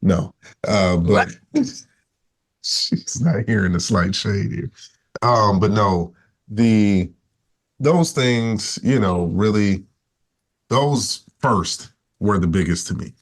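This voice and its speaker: Deep-voiced man, laid-back talk. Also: takes it slowly, long pauses. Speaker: podcast guest, husband. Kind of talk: casual chat.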